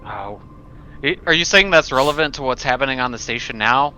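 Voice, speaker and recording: Bright, forceful man in casual game chat. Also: mid-range voice, expressive, fairly quick. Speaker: tabletop roleplaying player. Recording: dull lo-fi sound, faint noise.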